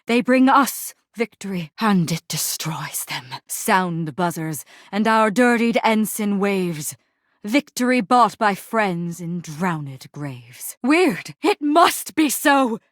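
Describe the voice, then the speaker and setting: A woman playing a proud, brooding, war-weary commander in a video game, speaking in archaic, poetic lines with solemn pauses and heavy melodrama. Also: mid-range voice, expressive, no echo, clean studio sound. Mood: grim, sorrowful, then indignant. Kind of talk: dramatic video game character voice acting.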